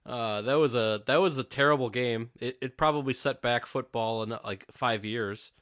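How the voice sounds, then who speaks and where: A man hosting a casual fantasy football podcast, talking in a relaxed, rambling way and often trailing off. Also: medium pitch, moderate pace, no room sound, clean studio sound. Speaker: podcast host. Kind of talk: casual chat.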